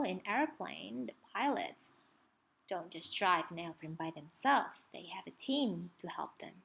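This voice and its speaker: A woman reading a children's story aloud, expressively, pausing to ask young listeners questions and point things out. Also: medium pitch, slightly rough.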